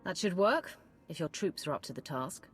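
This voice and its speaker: A stern female warrior gives orders in a low, steady voice. She speaks plainly and directly, with a faint game score behind her. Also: moderate pace, faint music behind. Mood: firm. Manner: firm, direct, commanding.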